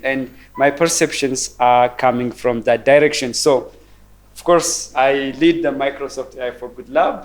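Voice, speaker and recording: A man in his forties from Ethiopia, giving a conference presentation in a measured, thoughtful way with frequent ums and repeated words. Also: medium pitch. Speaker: keynote speaker. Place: university roundtable event room.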